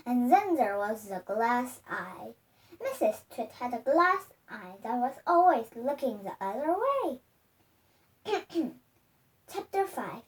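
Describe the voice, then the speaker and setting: Young female child reader, high voice, halting storybook delivery, picked up from a distance. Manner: careful, halting reading with misreadings. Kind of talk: storybook read-aloud. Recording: distant microphone.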